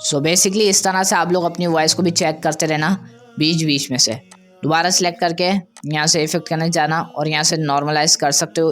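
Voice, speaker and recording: A man presenting an audio editing tutorial in a casual, conversational way, often checking that listeners follow. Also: a little high. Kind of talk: informal software tutorial.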